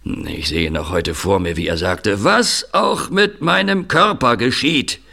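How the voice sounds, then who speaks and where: Ein Mann spielt einen knarzigen, freundlich-bedächtigen älteren Herrn. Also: male voice, fairly low pitch, gravelly, bright, forceful tone, exaggerated voice. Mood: reflective, grave. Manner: halting, hospitable, deliberate delivery with hesitations. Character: affable older man, scarred retired film manager breeding budgies. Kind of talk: audio drama dialogue acting.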